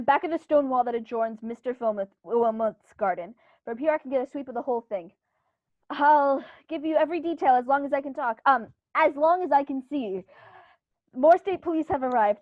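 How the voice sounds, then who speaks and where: A young woman playing an excitable, frightened radio reporter giving a dramatic eyewitness account in a radio play. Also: high voice, no echo, highs cut off. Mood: anxious, hushed. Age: young adult. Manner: dramatic, urgent narration with pauses. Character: excitable radio news reporter, gripped by mounting terror. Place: living room at home. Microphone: earphone mic on a video call.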